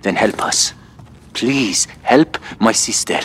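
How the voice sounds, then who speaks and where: An actor playing a desperate, defiant rebel fighter in a video game, intense and pleading. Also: male voice, fairly low pitch, takes it slowly, fairly bright tone. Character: desperate, defiant male rebel fighter devoted to his sister. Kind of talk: video game cutscene acting. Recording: very noisy action ambience.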